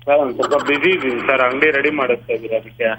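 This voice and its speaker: A man's voice: mid-range voice, steady tone, very fast. A man of twenty-two in a casual phone conversation, polite but curt and ready to argue. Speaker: prank call victim, shop worker. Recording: noisy phone call.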